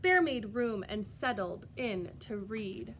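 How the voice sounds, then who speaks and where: A woman reading a storybook aloud as a preschool teacher, expressive and sing-song, pausing to put questions directly to young children. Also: medium pitch, a little hiss or hum.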